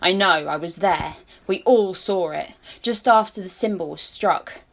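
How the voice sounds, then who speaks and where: A woman's voice: lowish voice, a little slow, vocal fry. A woman playing an earnest, spacey hippie conspiracy theorist in an amateur radio play, dreamy and rambling. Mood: conspiratorial.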